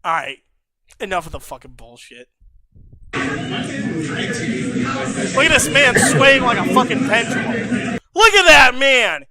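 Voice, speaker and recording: A man streaming, giving crude, casual reaction commentary, swearing constantly and breaking into loud laughter and shouts. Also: extremely high pitch, big pitch swings, measured pace, long pauses, over a noisy crowd.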